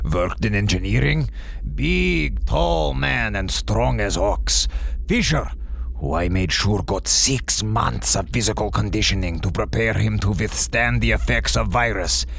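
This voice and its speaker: An actor playing a cold, unrepentant scientist with a Russian accent and grave, formal, deliberate speech in an audio drama. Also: male voice, fairly low pitch, vocal fry, a little hiss or hum, full character voice.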